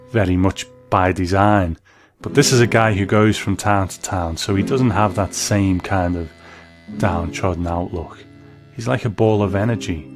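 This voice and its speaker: A man hosting a review show, giving scripted commentary in a measured, explanatory way. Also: fairly low pitch. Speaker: host of a television review show. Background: plucked guitar music.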